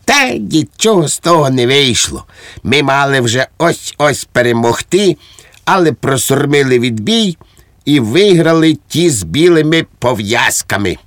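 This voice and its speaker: A man's voice: medium pitch, expressive, a little slow, a bit bright, no room sound, clean studio sound, voice pushed up. A man voicing an unflappable, naively obedient common soldier who rambles earnestly in an audiobook. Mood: earnest, rambling. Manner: earnest, untroubled, long-winded. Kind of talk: audiobook character acting.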